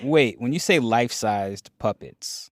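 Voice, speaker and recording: A man's voice: mid-range voice, animated, unhurried, dry recording, studio quality. A man hosting a radio show in a casual chat style, with informal, short, reactive phrasing. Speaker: radio host.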